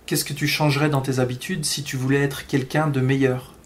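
A man's voice: medium pitch, flat delivery, fairly quick. A man hosting a podcast and asking his guest short questions. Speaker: podcast host, interviewer.

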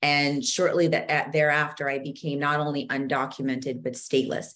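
A middle-aged woman, speaking deliberately and earnestly as an interview guest explaining things on a video call. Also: deep voice, flat delivery, fairly bright tone. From born in Zambia, moved to the United States at age four. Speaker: guest author and co-host. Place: home office with bookshelves, indoors.

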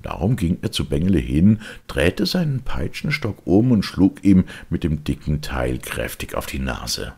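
A man narrating a children's storybook as an expressive audiobook reader. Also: extremely low pitch, measured pace, vocal fry, few pauses, slightly rough.

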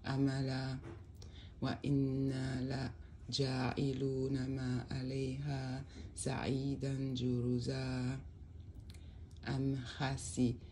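A speaker who recites scripture and then translates it in a measured reading, stressing key words, at a desk indoors with a phone recording. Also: medium pitch, completely flat delivery, mellow, a little hiss or hum. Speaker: religious storyteller.

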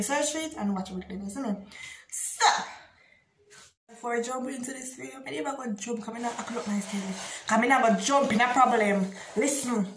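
A woman's voice: a little high, slight background noise. Young Jamaican woman, echoey room. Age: young adult. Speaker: video blogger. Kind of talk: casual chat to camera. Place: small bare indoor room.

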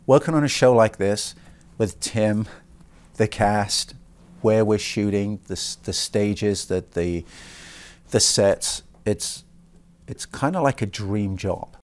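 A middle-aged man with a lowish voice talks casually in a film press interview. He jokes about himself and trips over restarts as he goes. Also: slow. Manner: chatty and self-mocking, many restarts. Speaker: actor. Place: film set interview, indoors.

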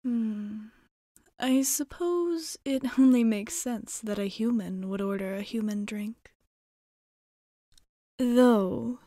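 A poised female vampire bartender, played by a woman, talks in an ASMR roleplay. She takes her time over formal, amused phrases that slip toward a breathy whisper. The mid-pitched voice sits very dry and intimate. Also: warm tone, no echo. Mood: teasing, coaxing, then knowing, amused. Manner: measured, formal, teasing, breaking into whispery breathiness.

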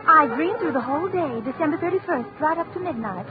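A woman's voice: high voice, expressive, fast, very noisy. An actress playing an affectionate society woman in an old-time radio drama. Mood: bewildered. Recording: tinny old radio recording, loud music.

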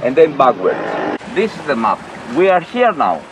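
A man's voice: on the high side, wildly swooping pitch, moderate pace. A middle-aged man from Corfu, a boat rental captain, giving short, clipped instructions in non-native English on an open boat at sea. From Corfu, Greece. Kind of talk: casual instructions. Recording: noisy outdoor boat audio.

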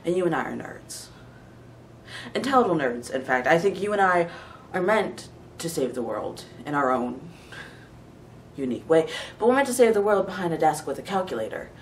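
A man's voice: on the high side, sing-song, moderate pace. A man acting an earnest, self-doubting, intellectual nerd with a hesitant delivery in an audition monologue. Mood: earnest, resigned. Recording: echoey room, distant mic, faint noise.

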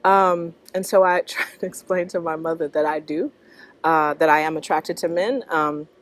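A middle-aged American woman answering interview questions reflectively, in a thoughtful, halting manner that often checks in with the listener. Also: deep voice. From North Carolina, US. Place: outdoors in a leafy park.

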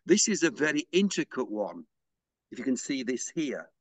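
Soft-spoken man explaining a craft. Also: mid-range voice, sing-song, moderate pace, dry recording. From England. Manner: hesitant, with fillers and restarts. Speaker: pysanky artist and interviewee. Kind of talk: unhurried explanatory interview talk.

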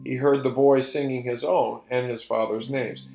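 A middle-aged man narrating a folktale in a deliberate, emphatic, theatrical storyteller's delivery. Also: mid-range voice, steady tone, gravelly, dark tone. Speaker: storyteller and presenter. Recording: roomy echo with music behind.

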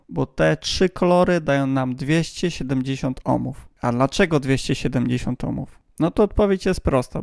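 A man's voice: medium pitch, vocal fry, a little mellow, no room sound, clean studio sound. A man giving a casual, playful, tongue-in-cheek explainer voice-over as a hobbyist video host.

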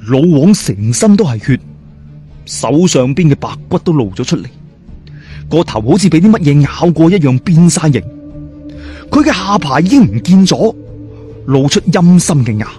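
A man's voice: on the high side, very animated, normal speed, pauses often, mellow, soft background music. A man telling a ghost story in a dramatic, colloquial and suspenseful way.

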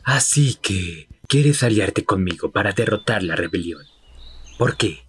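A man voicing a stern, humorless canine army leader in a cartoon, curt, deadpan and authoritative. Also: low-pitched, measured pace, slight background noise. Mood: suspicious. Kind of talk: animated fan dub acting.

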